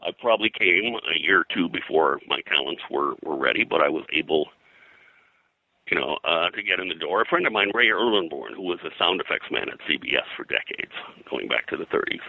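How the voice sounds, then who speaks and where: An older American man reminiscing as an interview guest, in a halting, conversational way full of verbal filler. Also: low voice, lively intonation, vocal fry, bright, forceful tone, husky, dry recording, low-quality recording. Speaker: interview guest, veteran comics colorist.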